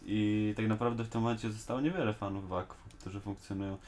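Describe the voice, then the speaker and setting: A man's voice: on the low side, steady tone, fast, a little mellow, slight background noise. A man in a casual fan discussion, speaking colloquially with some slang. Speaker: host of a fan discussion show. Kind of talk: casual chat reviewing an animated series.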